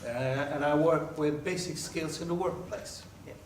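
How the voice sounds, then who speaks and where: Norwegian guy introducing himself, bit high voice, far from the mic. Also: unhurried. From Norway. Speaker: workshop participant, adult educator. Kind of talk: self-introduction to a group. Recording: echoey room, distant mic, faint noise.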